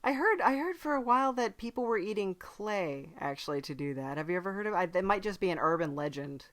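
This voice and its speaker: A woman hosting a podcast in casual chat, with a dry, playful deadpan humour. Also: medium pitch, fast talker. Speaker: podcast host.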